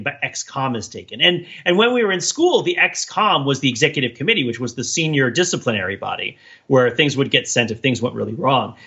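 A man with a bright, forceful voice and a husky edge chats as a podcast co-host. He explains ideas at length in a roaming, professorial manner. The recording sounds a little dull and lo-fi. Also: mid-range voice. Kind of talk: casual analytical podcast chat.

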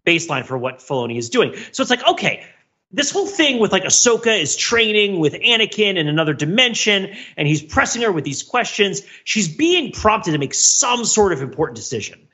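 Male podcast co-host, fairly high and animated, thinks out loud in loops, slightly lo-fi sound. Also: moderate pace. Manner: rambling, analytical, self-interrupting. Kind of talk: casual pop-culture discussion.

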